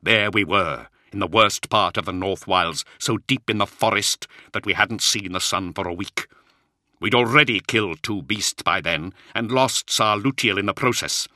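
A man voicing a weary, brooding commander of knights in an audiobook, with a rough, worn voice and a slow, grave delivery. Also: on the low side, bright, forceful tone, dry recording, clean studio sound, pitched above the performer's own voice. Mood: brooding, haunted. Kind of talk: audiobook character acting.

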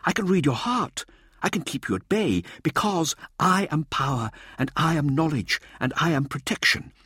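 A man's voice: fairly high pitch, lively intonation, normal speed, sharp tone, husky, dry recording, clean studio sound, voice pushed up, full character voice. A man voicing an eager, showboating male scientist in an audiobook, theatrical, pressing and didactic in his delivery. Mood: intense. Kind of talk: audiobook character dialogue.

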